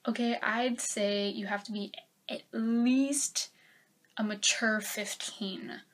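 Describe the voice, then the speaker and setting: A young woman giving a casual book review from her bedroom, chatty and rambling with lots of fillers, her voice a little raspy as she is getting sick. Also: fairly high pitch, steady tone, slow pace. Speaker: book vlogger. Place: bedroom, indoors. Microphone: webcam at desk.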